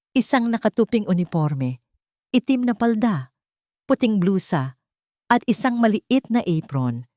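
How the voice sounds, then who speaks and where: Slightly low-voiced woman narrating a drama. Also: darkish tone, no echo, studio quality. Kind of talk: dramatic scripted audio story narration.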